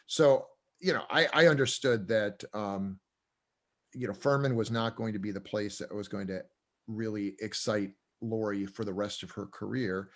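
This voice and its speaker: A man's voice: lowish voice, moderate pace. An older man talking casually in an interview, with many fillers and restarts, chuckling as he goes. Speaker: co-owner and professor.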